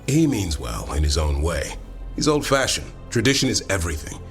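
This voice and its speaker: An actor playing a wry, earnest warrior of an honorable fantasy order in a video game, with dry, measured delivery. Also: male voice, deep voice, sing-song, faint music behind. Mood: wry, relaxed.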